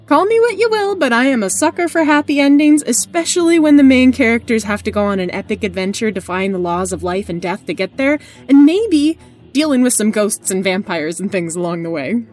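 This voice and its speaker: A woman introduces a podcast as its guest host. Her voice is on the high side, with expressive, emphatic phrasing, and music plays softly behind her. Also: fairly quick.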